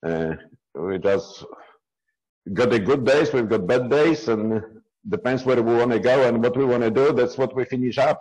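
A man in his fifties with a fairly low voice and a Polish accent talks as a guest over a video call. His English is halting, with non-native phrasing and frequent restarts. He speaks through earbuds from a small room at home. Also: darkish tone, husky, muffled recording. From Poland. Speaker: interview guest. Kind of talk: casual video-call interview. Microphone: earbud headset on a video call.

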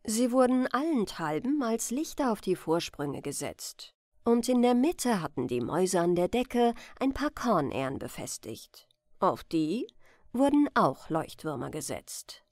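A woman's voice: medium pitch, very animated, measured pace, a little mellow, no echo, clean studio sound. A woman narrating a bedtime fairy tale as storyteller, reading expressively and with care.

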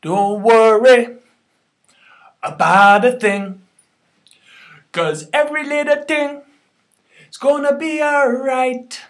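A young man in a loose, amateur session, moving slowly between casual singing and banter. He sounds relaxed about retakes, recorded in a small room on a camera at arm's length. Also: extremely high pitch. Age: young adult.

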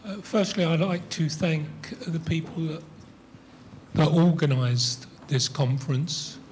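An older man with a British accent, putting a deliberate, emphatic question from the audience of a lecture theatre. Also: on the high side, darkish tone. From Britain. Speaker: audience member asking at conference. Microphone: handheld microphone. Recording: noisy lecture hall.

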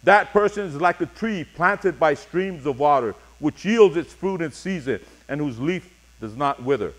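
Middle aged guy preaching, kinda higher voice, deliberate short phrases, reads scripture like a pastor. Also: animated. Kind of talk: sermon with scripture readings. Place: church sanctuary, speaking from a pulpit on stage.

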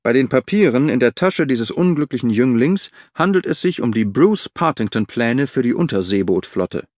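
A man voicing an imperious, heavyset older man, Holmes's brother, speaking gravely in a dry recording. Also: mid-range voice, animated, normal speed, a little mellow, no echo, natural voice. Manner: grave and weighty.

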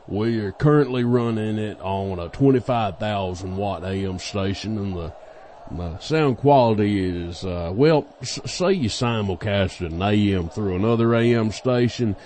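A man as a low-voiced, bumbling radio worker. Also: a little slow, mellow, a little hiss or hum, natural voice. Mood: hesitant. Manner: hesitant, stammering. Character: hapless, bumbling male radio station worker. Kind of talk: scripted audio comedy acting.

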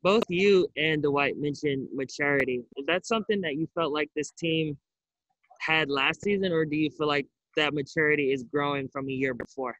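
Young male reporter, slightly high, dry voice. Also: moderate pace, fairly bright tone, no room sound, lo-fi sound. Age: young adult. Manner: conversational, wordy questions. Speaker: sports reporter. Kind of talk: press conference questions. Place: indoor arena stands.

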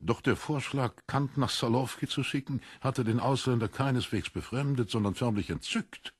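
A man's voice: medium pitch, normal speed, slightly rough, dry recording, studio quality. A man narrating a literary audiobook from a script.

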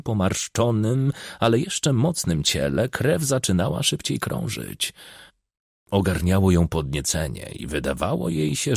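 Male narrator for crime fiction audiobook, husky with fry, animated intonation. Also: fairly low pitch, dry recording, clean studio sound. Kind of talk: scripted narration of a crime novel.

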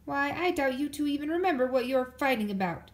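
A woman's voice: high-pitched, expressive, a little fast, voice pushed up. A woman voicing a stern, sensible mother bear in a storybook, firmly explaining. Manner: firm, explaining.

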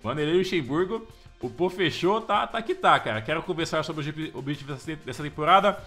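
A Brazilian man talks through his football game in a loose, slangy way, with his voice sitting high and ringing bright. He keeps up a casual let's-play chatter and turns loud when hyped. Faint game music plays in the background. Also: fairly quick. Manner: slangy, chatty, keeps saying "mano" and "velho"; gets loud and excited over the crowd. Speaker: gameplay commentator.

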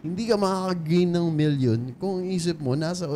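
A man's voice: sits high, normal speed, dark tone, slight background noise. A man co-hosting a casual podcast chat in a barbershop studio, giving coach-like advice and switching between English and Filipino. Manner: coaching, mixes English and Filipino, many yeahs. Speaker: co-host.

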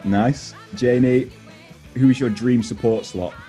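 A man's voice: mid-range voice, steady tone, measured pace, mellow, soft background music. A young man, an interviewer on a radio show, chatting casually on a headset over a video call. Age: young adult. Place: indoors at home, on a video call.

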